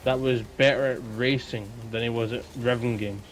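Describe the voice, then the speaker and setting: A man's voice: medium pitch, fairly even intonation, normal speed, a little hiss or hum, poor audio. A young adult man chatting casually as a podcast co-host. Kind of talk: casual chat.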